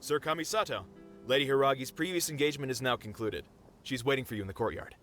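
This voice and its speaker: A man playing a brisk household servant. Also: mid-range voice, a bit bright, soft background music, close to an everyday voice. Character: male household servant, formal.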